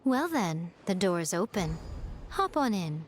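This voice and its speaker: A woman voicing a sly, elegant fox-spirit shrine familiar in a video game, poised and lofty with deliberate, teasing phrasing. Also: on the low side, very animated, a little hiss or hum. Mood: composed, instructing. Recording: game music underneath. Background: game music.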